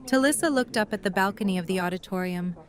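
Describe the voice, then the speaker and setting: A woman's voice: medium pitch, quick pace. A woman narrating a scripted story as the narrator of an audio drama.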